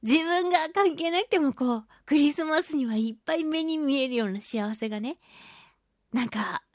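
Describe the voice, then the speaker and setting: A woman with a fairly high voice hosts a chatty solo radio show. She tells everyday stories in an animated, exclamatory, giggly manner. The sound is close and very dry, as from a studio microphone. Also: normal speed, dry recording. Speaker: radio talk show host; voice actress. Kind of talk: chatty solo radio monologue about daily life.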